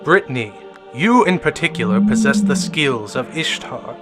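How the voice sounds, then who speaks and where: A man's voice: on the high side, very animated, moderate pace, over loud music. Man playing an impatient, grave ancient cosmic mentor. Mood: grand, solemn. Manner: formal, solemn, portentous. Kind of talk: audio drama acting.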